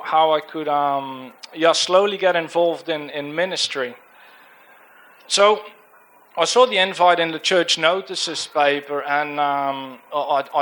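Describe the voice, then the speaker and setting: A man's voice: on the high side, a bit bright, poor audio. A young man with a Dutch accent giving an informal church talk about a mission trip, hesitant with many fillers and restarts. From Netherlands. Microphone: handheld microphone.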